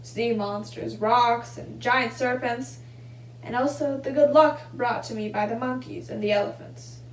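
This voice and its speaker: A woman's voice: a little high, steady tone, a little slow, slight background noise, low-quality recording. A young woman reading a children's story and giving simple craft instructions. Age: young adult. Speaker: volunteer storybook reader and craft demonstrator.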